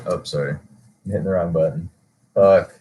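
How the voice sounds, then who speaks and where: A young man with a very low, deep voice talks laid-back and profane with a friend about rap ideas. He sounds a little distant from the mic. His tone is playful and easygoing. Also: steady tone, moderate pace, warm tone, a little hiss or hum. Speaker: rapper. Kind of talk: casual chat and hype-man ad-libs. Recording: heard from off the mic.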